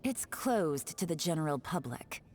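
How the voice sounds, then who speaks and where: Cool female guard agent, low-pitched, composed, dry, subtly menacing, game character. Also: very animated, a little slow, darkish tone, heavily acted. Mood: cool, firm. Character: cool, self-assured woman agent guarding forbidden ground.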